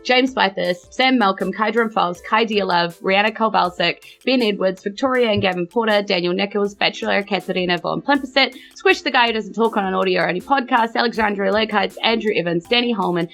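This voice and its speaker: A woman's voice: mid-range voice, fairly quick, few pauses, a bit bright, soft background music. A woman, casually hosting a tabletop game podcast as game master. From New Zealand.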